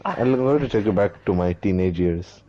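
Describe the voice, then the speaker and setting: A man's voice: fairly low pitch, lively intonation, normal speed, a crowd in the background, close to an everyday voice. A man playing a boastful man from Delhi who tells a rambling comic tale of his teenage years, laughing at his own jokes. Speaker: storyteller. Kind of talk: comedy sketch monologue. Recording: dull, faintly noisy recording.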